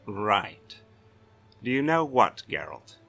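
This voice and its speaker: A man's voice: fairly low pitch, very animated, slow, gravelly, a bit bright, soft background music, exaggerated voice. A man voicing a blustering, sardonic, authoritative castellan in a fantasy audiobook. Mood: sardonic, stern. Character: blustering, weary-wry male castellan of a fantasy city.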